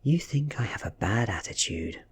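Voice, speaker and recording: A British man voicing an ancient female vampire posing as a schoolgirl, soft-spoken, coy and taunting, in an audiobook reading. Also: fairly low pitch, expressive, moderate pace, slightly rough. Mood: cool, knowing.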